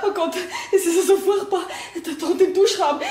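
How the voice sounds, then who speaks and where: Horrified Viennese hairdresser, radio play, very high frantic voice, slightly distant, roomy. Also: female voice, normal speed, heavy background noise. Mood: horrified, sobbing. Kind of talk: radio drama acting.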